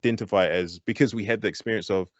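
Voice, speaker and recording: Kiwi guy, low voice, chatty and fired up, lots of restarts, muffled lo-fi call sound. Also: fast talker, no echo. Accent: New Zealand. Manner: animated, thinks aloud with many restarts. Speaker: guest, hip-hop artist. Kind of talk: candid interview talk. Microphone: online call.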